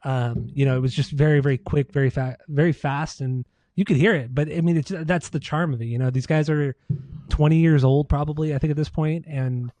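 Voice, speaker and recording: A man's voice: fairly high pitch, steady tone, brisk, warm tone, dry recording. An American man co-hosting a podcast, chatting casually about music in an informal, slangy, sweary way. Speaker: podcast co-host.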